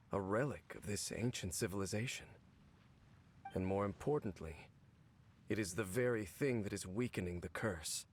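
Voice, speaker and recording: An actor playing a stoic, world-weary man cursed for centuries in a video game, speaking in a measured, formal, grave way. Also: lowish voice, slight background noise. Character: weary, stoic, five-hundred-year-old cursed man. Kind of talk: scripted video game voice acting. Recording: game audio with music underneath. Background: game music.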